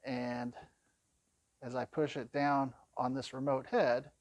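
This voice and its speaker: A man's voice: mid-range voice, flat delivery, slow, no echo. Man giving a dry, close-sounding product walkthrough. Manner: plain-spoken, conversational explaining. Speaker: product demonstrator.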